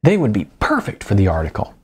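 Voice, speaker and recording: A man's voice: on the low side, wildly swooping pitch, fast talker, a little mellow, husky, heavily acted. A man reading the audiobook dialogue of an earnest, persistent young woman in an eager, emphatic manner. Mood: bright, chatty.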